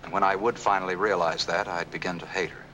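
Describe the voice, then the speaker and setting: Devoted fiancé, low bright voice, emphatic, 1940s film noir, old soundtrack. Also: male voice, fairly even intonation, quick pace, slight background noise, poor audio. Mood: earnest, defensive. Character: devoted, insistent young fiancé in love.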